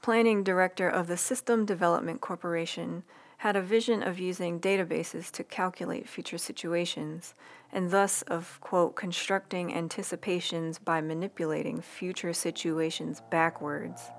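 Woman with a lowish voice reading her essay slow n soft, asmr style, breathy mouth sounds up close. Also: steady tone. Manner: soft, unhurried, intimate delivery with audible breath and lip sounds. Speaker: writer reading own newsletter aloud. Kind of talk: ASMR read-along of a personal essay. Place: quiet room indoors. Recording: quiet room hiss, close breath sounds.

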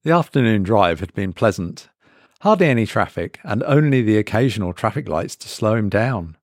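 A man reading a scripted horror story as a dramatic narrator, measured and deliberate with pauses for effect. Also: fairly low pitch, animated, dry recording, clean studio sound.

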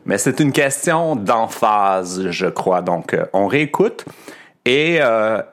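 A man's voice: on the low side, very animated, slow. A man with a Québécois French accent hosting a podcast lesson, explaining things carefully with frequent hesitations. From Quebec. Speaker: podcast host and French teacher.

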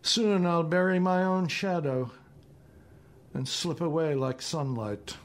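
A man in his seventies with an American accent, reading his own poetry and prose in a deliberate, measured way. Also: on the high side, steady tone. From northeastern United States. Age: 70s. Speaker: poet reading own work.

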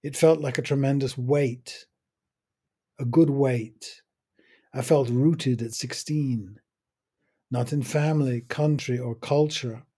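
An older man muses over his memories in a measured, unhurried monologue. His voice is mellow and a little husky, and he lets short phrases fall apart with long pauses between them. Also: mid-range voice, fairly even intonation. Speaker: podcast host. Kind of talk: reflective personal monologue.

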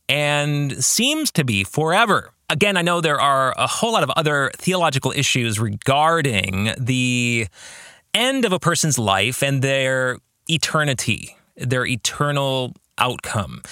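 Slow, bright-voiced man with animated pitch. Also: no room sound, studio quality. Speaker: podcast host and novelist.

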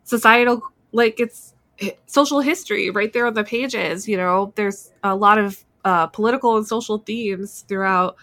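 A woman's voice: medium pitch, normal speed, fairly bright tone. A woman talking casually and reflectively as a podcast co-host.

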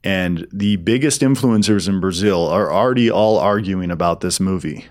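A man giving explanatory answers as a business guest in an interview, in a halting way with repeated and restarted phrases. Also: sits low, a little mellow. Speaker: interview guest, film studio executive.

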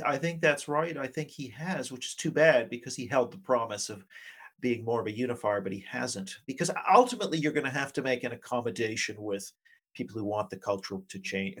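A middle-aged man gives thoughtful, measured interview answers in an academic, hedging manner. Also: mid-range voice, fairly bright tone, husky. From Vancouver, Canada, and partly Asia. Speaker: interview guest, politics professor.

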